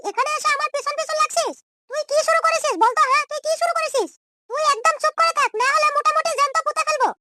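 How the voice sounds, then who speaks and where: A man's voice: very high-pitched, heavy background noise. A man voicing a shameless, quarrelsome, scheming young woman in an amateur comedy cartoon, ranting and taunting through a chipmunk-like voice effect. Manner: scolding, ranting in short repeated taunts.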